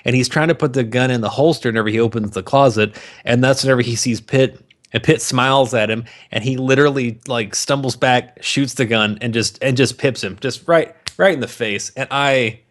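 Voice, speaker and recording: A male podcast co-host with a mid-range voice talks casually and with plenty of profanity. He is opinionated and mocking, and tells things in an animated, conversational way. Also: mid-range voice, a little fast. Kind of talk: casual movie banter.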